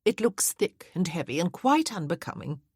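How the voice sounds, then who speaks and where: A woman voicing a disapproving, critical aunt who speaks slowly. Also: fairly low pitch, big pitch swings, a little mellow, husky, no echo, clean studio sound.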